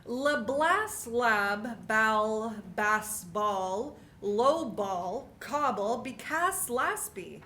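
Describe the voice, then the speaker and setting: A woman with a somewhat high voice performs experimental sound poetry. She chants fragmented words and syllables, drawing them out extremely slowly. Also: animated, sharp tone, a little hiss or hum. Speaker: choir performer.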